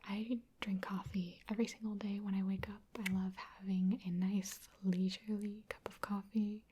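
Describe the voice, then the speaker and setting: A woman from Wisconsin, an ASMR creator, answering viewer questions in a soft, chatty, self-deprecating whisper. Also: mid-range voice, little pitch movement, moderate pace, background noise. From Wisconsin, USA.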